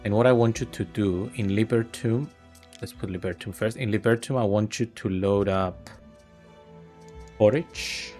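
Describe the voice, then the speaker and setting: Man, low voice, gaming commentary. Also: faint music behind. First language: Greek. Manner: thinking aloud, relaxed and unhurried. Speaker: gaming video host. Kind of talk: casual gameplay commentary.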